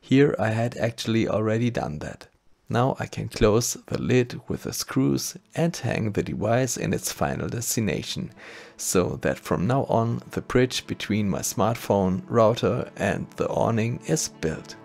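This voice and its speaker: A man's voice: lowish voice, animated, unhurried, creaky, a little mellow. A man with a German accent narrating a scripted electronics tutorial step by step. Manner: methodical step-by-step explaining. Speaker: maker channel host.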